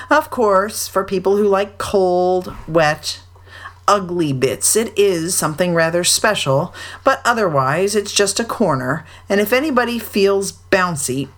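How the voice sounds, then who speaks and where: A woman voicing a gloomy, gruff old male donkey in a storybook. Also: lowish voice, fairly bright tone. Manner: gruff, slow, mournful.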